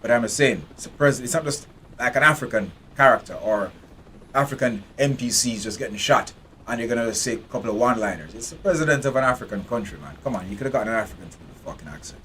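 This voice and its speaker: A man's voice: medium pitch, animated, fast, bright, forceful tone, slight background noise. Blunt, profane man streaming a game. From Jamaica. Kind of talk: live gameplay commentary.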